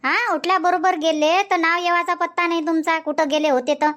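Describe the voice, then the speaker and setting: A woman's voice: extremely high pitch, fairly even intonation, a bit bright. A woman voicing a nagging village housewife. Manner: scolding, complaining, rapid village banter. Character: nagging village housewife Shanta, chatty and sharp-tongued. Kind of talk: dubbed cartoon comedy.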